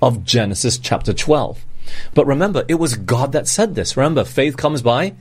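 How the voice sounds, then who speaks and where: Male preacher, medium pitch. Conversational sermon delivery, emphatic, checks in with listeners through rhetorical questions. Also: very animated, normal speed, slightly rough. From born in Singapore, grew up in Malaysia, England and Australia.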